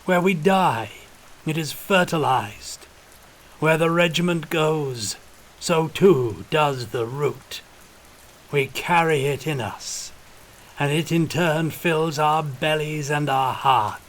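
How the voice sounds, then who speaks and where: A man's voice: fairly high pitch, takes it slowly, pitched above the performer's own voice. A man voicing a calculating, fanatical old regiment officer, wheezy and intoning like a preacher, in an audiobook. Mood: fervent. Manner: intoning, preacherly, rustic archaic turns of speech.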